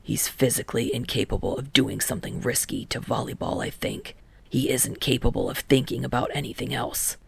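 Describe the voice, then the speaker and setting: A woman voicing a blunt, probing young male volleyball player in a fan fiction audiobook, soft, breathy and straightforward. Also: rumbling low, fairly even intonation, brisk, no room sound, voice pushed down, heavily acted. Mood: understanding. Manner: straightforward, understanding.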